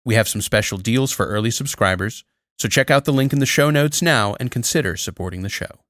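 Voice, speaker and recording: A man's voice: mid-range voice, brisk, fairly bright tone, no room sound, clean studio sound. A man reading a scripted promotional message as a podcast host.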